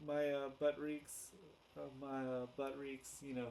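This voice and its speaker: A man hosting a casual, unscripted fan commentary video, halting and rambling as he goes, often correcting himself. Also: medium pitch, little pitch movement, vocal fry, darkish tone. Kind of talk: casual unscripted chat to camera. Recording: noticeable background noise.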